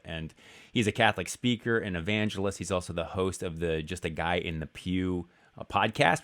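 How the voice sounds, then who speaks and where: A man's voice: sits low, a bit bright. A man hosting a podcast, introducing his guest and chatting in a conversational way with many fillers. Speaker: podcast host. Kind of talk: podcast interview.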